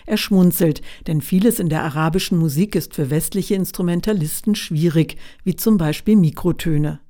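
A woman reporter reading a scripted radio feature in a calm, measured way. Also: fairly low pitch.

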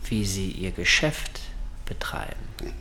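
A man's voice: deep voice, huge pitch swings, slow pace, vocal fry, bright, forceful tone, whispered, exaggerated voice. A man playing a courteous, evasive kidnapper in a radio drama, speaking in short, clipped replies. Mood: cool, evasive. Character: polite, evasive masked male kidnapper.

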